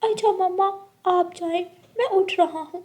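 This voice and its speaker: Tiny cartoon boy bird played by a woman, very high pitch, drowsy, whiny, childlike pleading. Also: normal speed, darkish tone. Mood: sleepy, whiny. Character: small sleepy little boy bird, pleading.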